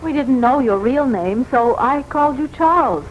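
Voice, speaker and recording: An actress playing an anxious, devoted American wife and former nurse in a 1940s radio drama, speaking earnestly and pleadingly. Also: female voice, sits high, lively intonation, moderate pace, faint music behind. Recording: muffled, slightly noisy 1940s radio recording.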